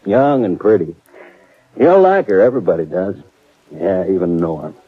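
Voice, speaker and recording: A man's voice: deep voice, expressive, moderate pace, breathy edge. An actor playing a weary cattleman and older brother, plainspoken and somber. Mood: earnest. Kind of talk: 1950s radio drama acting. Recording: tinny old radio broadcast.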